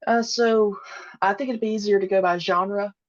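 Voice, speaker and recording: A woman's voice: mid-range voice, fairly even intonation, normal speed. Hesitant woman, slightly dull sound. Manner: hesitant, many "uh" and "um" fillers. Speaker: podcast guest, audio drama creator. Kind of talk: casual interview chat.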